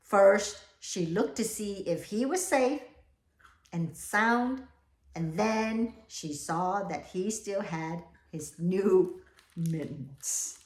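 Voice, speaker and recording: A woman reading a picture book aloud to children, expressive and animated, with chatty, exclaiming asides to young listeners. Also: medium pitch, extremely slow. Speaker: read-aloud storyteller for children. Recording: echoey room.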